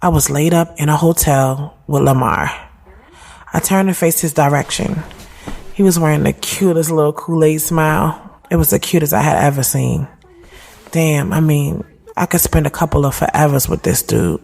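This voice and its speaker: A woman's voice: sits low, normal speed, gravelly, darkish tone. A woman playing a reflective, conflicted thirty-year-old who narrates her own audio drama in a candid, slangy, conversational way. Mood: smitten. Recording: music behind the voice. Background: music.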